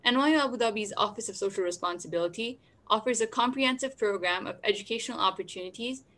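A woman in her twenties from Nepal, giving a steady, formal welcome as co-moderator over a webcam. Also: mid-range voice, fast talker. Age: 20s. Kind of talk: scripted welcome. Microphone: video call webcam.

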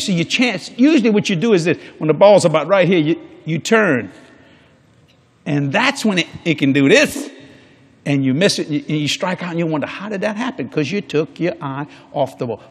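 A man's voice: sits high, husky. An older man preaching a sermon on a large church stage before a congregation, folksy and jokey, acting out quoted people in different voices.

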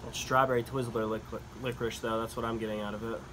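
A young man casually reviewing beer at home, talking through each sip with relaxed, enthusiastic comments and frequent fillers, filmed in a small wood-paneled room. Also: medium pitch, fast, noisy background.

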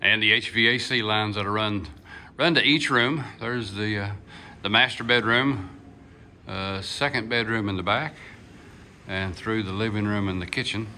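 An older man talks casually as he films a tour on his phone, folksy and full of "uh"s. His voice is bright and forward. A faint hiss of background noise sits under him. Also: lowish voice, moderate pace. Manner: folksy, chatty, with many "uh" fillers and "yeehaw". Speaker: vlogger touring his house build. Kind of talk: casual walkthrough narration. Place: unfinished wooden house frame, indoors and on outdoor steps. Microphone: phone camera held by the speaker.

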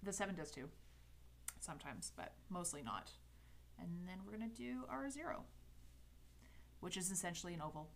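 A woman's voice: on the low side, animated, moderate pace, gravelly, pauses often, a little hiss or hum. A woman in her thirties with a Canadian accent, chatting casually while teaching a calligraphy livestream, rambling and correcting herself as she goes. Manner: rambling and self-interrupting, with light laughs. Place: home desk studio indoors. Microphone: headset.

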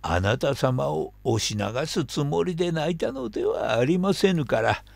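A man's voice: on the high side, very animated, unhurried, creaky, no echo, exaggerated voice. An old man doing a worried old earthworm. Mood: apologetic. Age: 70s. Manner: gloomy, grave, lecturing.

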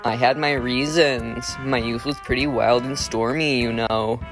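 A man voicing an ailing, middle-aged cartoon troll father who reminisces slowly and dramatically. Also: medium pitch, steady tone, music in the background, natural voice. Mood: wistful, ailing. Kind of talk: cartoon fandub, storytelling.

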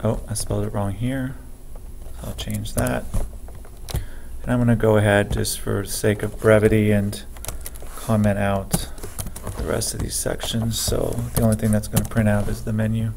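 A man's voice: lowish voice, little pitch movement, creaky, darkish tone, a little hiss or hum. A man walking through code step by step in a screencast lesson, thinking aloud as he types. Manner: slow, methodical, thinking aloud while typing. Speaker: programming teacher. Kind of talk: screencast coding tutorial. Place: at a computer, keyboard typing heard.

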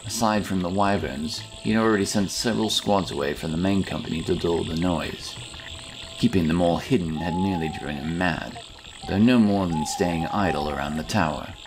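A man reading a scripted fantasy story as an audiobook narrator, in a dramatic, deliberate manner. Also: low voice, music in the background, low-quality recording.

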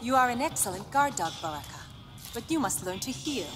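A woman's voice: on the high side, big pitch swings, fairly quick. A woman playing a defiant, self-assured warrior in a video game, with a cool, mocking manner. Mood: taunting. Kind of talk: video game cutscene acting. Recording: long reverb over loud music.

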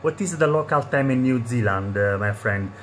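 A man's voice: mid-range voice, animated, moderate pace. A man from Italy with an Italian accent, a native Italian speaker, making an amateur radio contact with phonetic call signs and repeated phrases. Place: indoors at a radio set. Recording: noisy handheld room recording.

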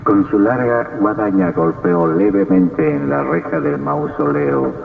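A man's voice: on the low side, lively intonation, unhurried, gravelly. A man narrating a scripted horror radio drama in an ominous, dramatic manner. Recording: tinny, distant old radio recording, faint music.

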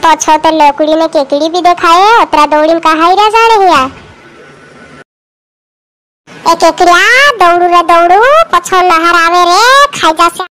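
A woman's voice: very high voice, moderate pace, hardly any pauses, sharp tone, a little hiss or hum. A woman voicing a bossy, nagging village girl in a cartoon comedy, scolding and urging others along.

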